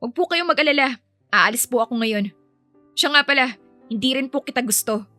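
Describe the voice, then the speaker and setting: A woman's voice: high voice, expressive, brisk, sharp tone, no room sound, soft background music. A woman voicing a proud, polite young housemaid nanny in an audio romance, earnest and motherly. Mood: offended, defiant.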